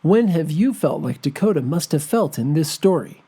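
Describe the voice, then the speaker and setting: A man narrating a children's folktale and asking discussion questions, reading gently and expressively. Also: fairly high pitch, expressive, moderate pace, slightly rough. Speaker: storyteller. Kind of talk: scripted children's story narration. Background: music.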